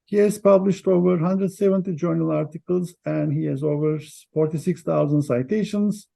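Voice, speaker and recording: A male interviewer with a slightly higher-pitched voice that carries a gravelly edge. He speaks as a host, moving between prepared introduction and questions in a mellow, relaxed tone. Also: normal speed.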